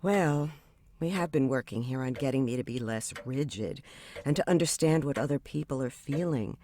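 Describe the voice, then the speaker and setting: A woman's voice: very deep voice, normal speed, mellow, slight background noise. A woman playing an outspoken, defensive young therapy client in an audio drama, with a frank, sardonic manner. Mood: defensive, guarded.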